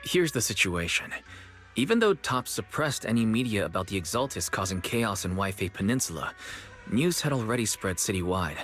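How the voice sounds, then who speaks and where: An actor playing an earnest, level-headed young disciple in a video game, explaining things in a measured way. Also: male voice, fairly low pitch, a bit bright.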